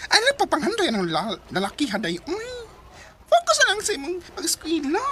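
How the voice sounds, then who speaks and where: A woman's voice: high-pitched, wildly swooping pitch, a little fast. A woman playing a teasing, bossy young college best friend in a Bisaya radio drama. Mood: scolding.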